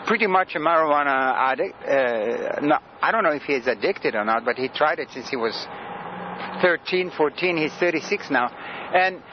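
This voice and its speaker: A man in his sixties from Sudan whose first languages are Greek and Arabic, talking in a rambling, hesitant way with many pauses and laughs, tired from lack of sleep, interviewed outdoors. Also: on the high side, animated, dull audio, a little hiss or hum. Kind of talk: casual street interview.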